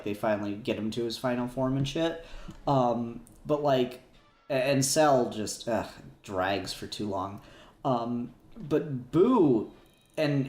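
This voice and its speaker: A man's voice: mid-range voice, a little mellow. A man chatting casually as a podcast co-host in a fan discussion, rambling with emphatic enthusiasm. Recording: roomy echo, music behind.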